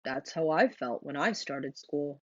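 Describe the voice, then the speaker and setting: A woman voicing a calm, reassuring father turtle in a children's storybook, in a steady, soothing way. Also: low-pitched, measured pace, no room sound, dull audio, pitched below the performer's own voice.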